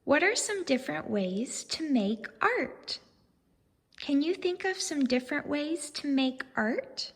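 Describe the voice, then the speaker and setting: A woman reading a storybook aloud to young children as a teacher, expressive and deliberate, pausing to ask questions. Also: sits high, long reverb tail.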